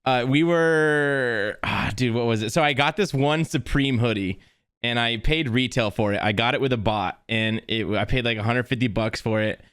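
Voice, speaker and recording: A man's voice: mid-range voice, normal speed, fairly bright tone, clean tone, dry recording, clean studio sound. A man in his twenties telling personal stories in casual, slangy chat, often laughing as he talks. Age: 20s. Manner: laughs while talking, informal slang.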